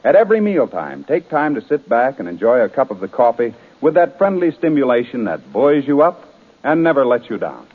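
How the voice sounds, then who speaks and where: A male announcer delivers radio advertising copy with a very animated, swooping delivery and mid-pitched voice. It sounds like a narrow, muffled broadcast from the 1930s. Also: fairly quick.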